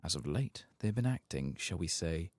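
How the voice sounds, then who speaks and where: A man softly reading out the lines of a guarded, calculating fantasy spymaster in a game. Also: deep voice, big pitch swings, moderate pace, dry recording, studio quality. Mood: guarded, concerned. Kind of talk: soft-spoken reading of game quest text.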